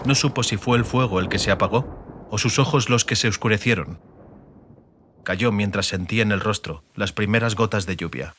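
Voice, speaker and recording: A man narrating a fiction audiobook dramatically, in solemn, measured short phrases. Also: fairly low pitch, fairly bright tone, slight background noise.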